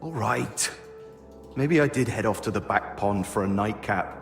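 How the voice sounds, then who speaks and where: A grumpy, evasive game character with a lowish voice. He sounds weary and defensive, answering grudgingly in a reverberant, echoey space with faint music behind him. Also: a little slow, gravelly. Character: grumpy, evasive man hiding from questioners. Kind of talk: video game cutscene acting.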